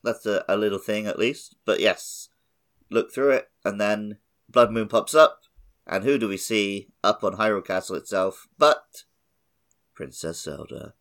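A man's voice: fairly low pitch, expressive, unhurried, fairly bright tone. A man co-hosting a gaming podcast in casual, conversational chat. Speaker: podcast co-host. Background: background music.

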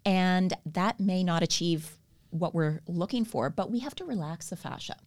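A woman's voice: lowish voice, moderate pace, a little mellow. A woman with a Canadian accent, a podcast guest earnestly explaining her therapy work and laughing easily. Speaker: guest, stretch therapy educator. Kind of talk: podcast interview.

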